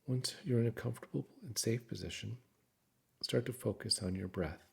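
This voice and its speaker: A man narrating a guided meditation in a calm, soothing, measured way, with frequent pauses. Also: mid-range voice, creaky.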